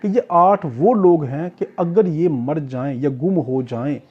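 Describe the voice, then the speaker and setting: A man's voice: fairly high pitch, a little slow, darkish tone, slightly rough, dull audio. A Pakistani man giving an informal lecture as a tutor, explaining step by step in a didactic, repetitive way, often asking questions and answering them himself. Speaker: law tutor. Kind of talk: informal exam-prep lecture, explaining clause by clause.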